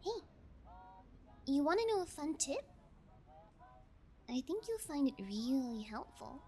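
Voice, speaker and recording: A woman's voice: sits high, big pitch swings, warm tone, slight background noise. A woman playing a callous, playfully menacing kidnapper in an ASMR roleplay, talking in a taunting, nonchalant way. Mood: playful, smug. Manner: taunting, nonchalant, slow and deliberate. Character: callous female yangire kidnapper, playfully menacing, bullying sociopath. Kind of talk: ASMR audio roleplay acting.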